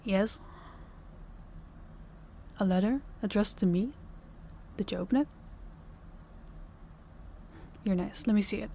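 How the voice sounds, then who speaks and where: A young woman voicing a tired, tender working girlfriend in an audio roleplay, speaking softly and intimately, often close to a whisper. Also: medium pitch, normal speed, slight background noise, close to an everyday voice. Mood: calm. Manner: soft-spoken, intimate, often near a whisper. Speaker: voice actor.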